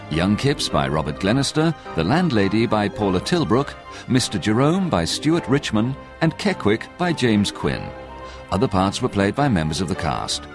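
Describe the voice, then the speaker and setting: Male announcer, low pitch, lively intonation, formal, scripted radio credits.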